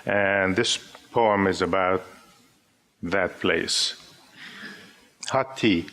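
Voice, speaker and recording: A man in his fifties from Syria, an Arabic speaker, reading his poems aloud to a live audience in a gentle, measured way. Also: low voice. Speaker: poet. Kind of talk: poetry reading with spoken introductions. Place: live gathering before an audience.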